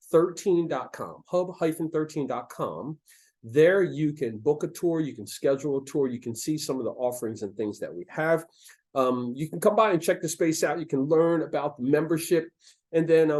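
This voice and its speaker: A man's voice: medium pitch, a little mellow. American male host, slightly crackly voice. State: slightly crackly voice after losing it. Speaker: host of a local talk show. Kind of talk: talk show monologue to the audience.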